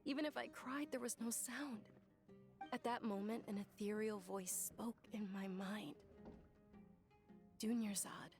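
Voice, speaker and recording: A woman voicing a gentle, earnest young woman telling her story softly and reflectively in a video game. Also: mid-range voice, steady tone, measured pace, pauses often, soft background music, natural voice. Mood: wistful.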